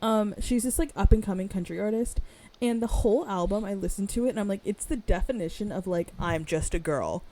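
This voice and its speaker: A woman in her twenties co-hosting a podcast, chatting in a rambling, animated way, her voice raspy today. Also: medium pitch, brisk, rough recording. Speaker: podcast co-host. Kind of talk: casual chat.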